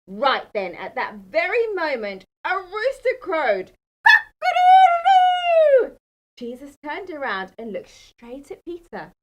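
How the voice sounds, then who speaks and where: A woman's voice: high-pitched, big pitch swings, unhurried, hardly any pauses, a bit bright, poor audio. A woman telling a children's bedtime Bible story in a dramatic, emphatic manner. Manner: dramatic, emphatic, asks the listeners questions.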